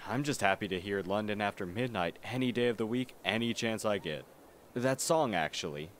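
A man's voice: fairly low pitch, animated, fairly quick, fairly bright tone, slight background noise. A man playing a theatrical, mischievous young goth student with a grandiose, teasing manner in an ASMR roleplay.

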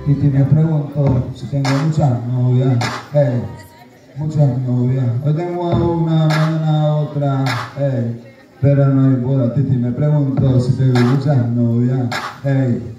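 A man's voice: mid-range voice, steady tone, measured pace, muffled recording. A man doing a live comic sketch, joking, teasing and breaking into silly songs. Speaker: comedian and impersonator. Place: large outdoor bullring arena. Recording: echoing arena PA from far off, crowd and music.

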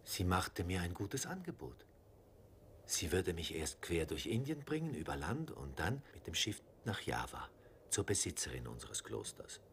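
An actor playing a gentle, trusting Carthusian monk who recounts his journey in a calm, reflective way in a dubbed film. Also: low voice, moderate pace, slight background noise, full character voice.

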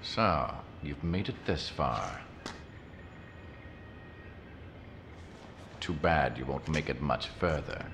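Very deep, gravelly game villain. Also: male voice, expressive, background noise, heavily acted. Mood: cold menace. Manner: menacing, deliberate, haughty. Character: arrogant cold superhuman male villain with god ambitions. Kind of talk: dramatic video game cutscene acting. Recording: voice under loud cinematic music.

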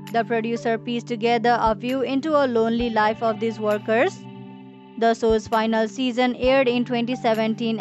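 A woman narrating a scripted voice-over in an even, matter-of-fact reading. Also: a little high. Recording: dull lo-fi voice-over with faint music.

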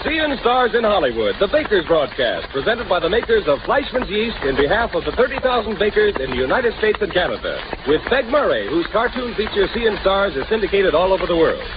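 Male vintage radio announcer, high and animated, proclaiming, music behind, old broadcast sound. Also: fairly quick, few pauses. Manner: proclaiming, showy introductions. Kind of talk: 1930s radio announcing, scripted. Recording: noisy, dull 1937 radio recording.

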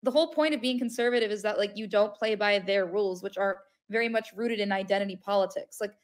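A woman's voice: medium pitch, fast. A woman on a podcast panel, talking bluntly and dismissively over a video call, filling her speech with "like". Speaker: panel guest. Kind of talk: casual political podcast chat.

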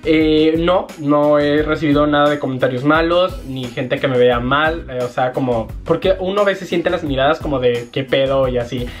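A man's voice: fairly high pitch, faint music behind. A young man from Jalisco with a Mexican accent, chatting casually and animatedly to camera from his bedroom, using slang and lots of gestures. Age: young adult. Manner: animated, lots of hand gestures, slangy, puts on quick voices for quoted people. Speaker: vlogger. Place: small bedroom, indoors. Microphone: fixed camera at desk.

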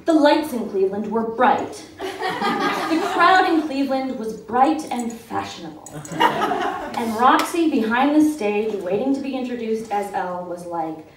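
A woman's voice: a little high, measured pace. A young woman giving a theatrical, comedic live reading of a short story, acting out the dialogue. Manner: theatrical, expressive delivery with acted dialogue. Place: stage in a small event room with audience. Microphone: mic on stand, picked up by distant camera. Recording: roomy, distant, noisy live-event recording.

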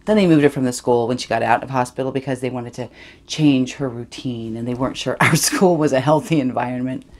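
A woman's voice: very deep voice, a little fast, darkish tone. A woman performing a dramatic monologue as a privileged, awkward eighteen-year-old girl, sly and defensive, rambling confessionally. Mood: gossipy, sly. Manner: rambling, confessional, self-justifying.

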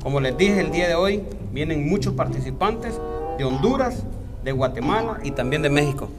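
Bossy high-voiced man judging. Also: animated, normal speed, over loud music. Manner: bossy, exasperated. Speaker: judge in sketch. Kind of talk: comedy sketch.

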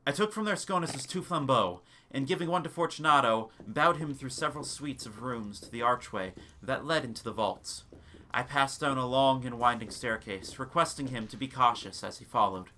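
A man giving a dramatic scripted narration of a gothic tale, with theatrical, deliberate phrasing. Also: mid-range voice, pushed and bright, a little hiss or hum, poor audio.

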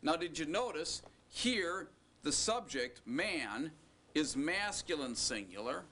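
A man teaching a recorded language lesson, explaining slowly and deliberately with joking asides. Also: a little high, sing-song, pushed and bright, slight background noise. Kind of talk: recorded classroom lecture.

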